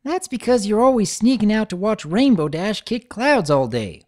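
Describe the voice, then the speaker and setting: A woman's voice: mid-range voice, sing-song, moderate pace, darkish tone, pitched above the performer's own voice, natural voice. Woman doing a folksy country farm filly. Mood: cheerful. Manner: country drawl, folksy phrasing. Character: folksy country farm girl pony, cheerful.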